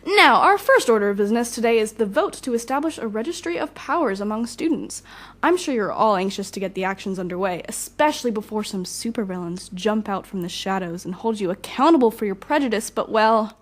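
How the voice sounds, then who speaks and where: A woman playing a smooth-talking young supervillain in an audio drama, composed, wry and mock-polite. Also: mid-range voice, lively intonation, a little fast, close to an everyday voice. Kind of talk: audio drama villain acting.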